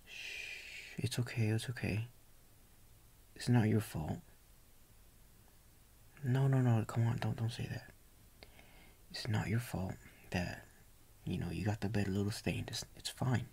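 A young man playing a tender, doting boyfriend in a soft ASMR roleplay, gentle, coaxing and reassuring, with a breathy voice often near a whisper. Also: on the low side, fairly even intonation, unhurried, pauses often, heavily acted. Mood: tender, soothing.

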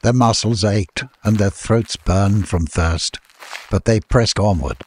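A man's voice: lowish voice, takes it slowly, a little mellow, a little hiss or hum. A man reading scripted fantasy story narration as an audiobook narrator.

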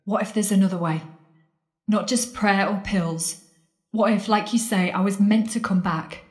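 A woman's voice: medium pitch, fairly even intonation, moderate pace, close to an everyday voice. An actress playing a defiant, worldly woman in an audio drama, intense and sardonic as she challenges her lost love. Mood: urgent, persuasive. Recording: echoey, roomy sound.

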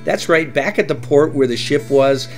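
A man's voice: on the high side, moderate pace, soft background music. A middle-aged man hosting a travel vlog, giving upbeat tips and often saying "that's right". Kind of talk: enthusiastic travel guide narration.

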